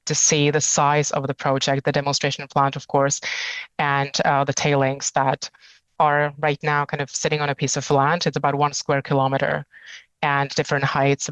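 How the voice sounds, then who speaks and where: A woman in her forties, raised in the Czech Republic, speaks as a company chief in a steady, level tone. Her voice is smooth and clean, and it sounds close and dry with no room around it. She talks in a measured way and pauses often between phrases. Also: deep voice, no echo, low-quality recording. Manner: measured, many fillers and pauses. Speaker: company CEO, guest. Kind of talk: business interview answers.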